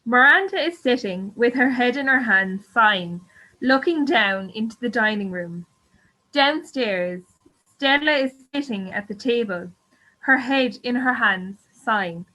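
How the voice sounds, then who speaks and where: A woman's voice: a little high, expressive, unhurried, pushed and bright, exaggerated voice. A woman narrating the stage directions of an amateur radio play as a scripted reader. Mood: neutral, measured. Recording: slightly dull, lo-fi audio.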